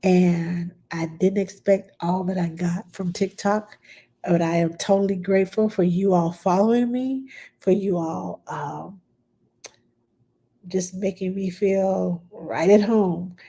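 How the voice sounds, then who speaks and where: A woman's voice: on the low side, steady tone, slow, warm tone, slightly rough. A middle-aged woman with a stuffed-up nose, chatting plainly and bluntly as a nanny answering viewer questions on a live stream.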